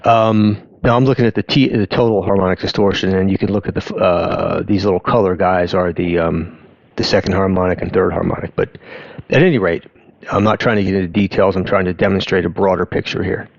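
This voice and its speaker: A man giving an informal explainer in a halting, conversational way, often interrupting himself to rephrase. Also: low-pitched, steady tone, creaky, dry recording, dull audio. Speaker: host of an audio education channel. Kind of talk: informal explainer talk over on-screen graphs.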